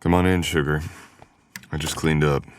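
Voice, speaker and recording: A man's voice: rumbling low, level tone, moderate pace, slight background noise. A man playing a teasing, caring male best friend in an intimate boyfriend ASMR audio roleplay, with playful grumbling.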